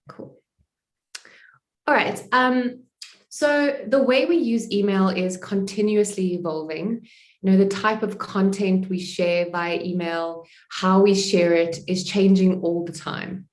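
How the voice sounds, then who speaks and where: A woman's voice: lowish voice, a little mellow. South African woman presenting in an echoey room. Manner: conversational, frequent "you know" fillers. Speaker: sales presenter. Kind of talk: webinar presentation.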